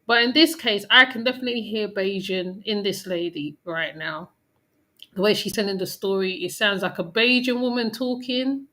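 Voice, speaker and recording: A woman's voice: medium pitch, normal speed, pushed and bright. A woman hosting a reaction video, talking in a chatty commentary style with frequent restarts. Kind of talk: casual commentary.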